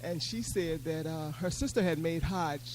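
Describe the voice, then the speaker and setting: A man's voice: on the high side, moderate pace, darkish tone, slight background noise, rough recording. A man telling a story informally to an audience in a plain, matter-of-fact way. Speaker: panel speaker sharing pilgrimage experiences.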